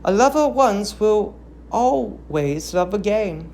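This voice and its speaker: A woman's voice: mid-range voice, expressive, takes it slowly, a little mellow, slight background noise. A woman voicing an aged, grieving queen in a declamatory, impassioned and accusing reading of a tragedy. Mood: pleading warning.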